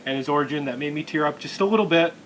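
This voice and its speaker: A man's voice: fairly high pitch, very fast, fairly bright tone, poor audio. A man hosting a podcast in casual chat, swearing now and then. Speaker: podcast host. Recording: clear background room noise.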